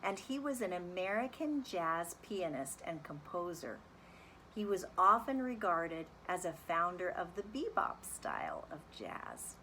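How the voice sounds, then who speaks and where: A woman speaking as a music teacher, reading a children's story and explaining it in an animated, deliberate, instructive way. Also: lowish voice, low-quality recording. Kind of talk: expressive children's read-aloud and lesson.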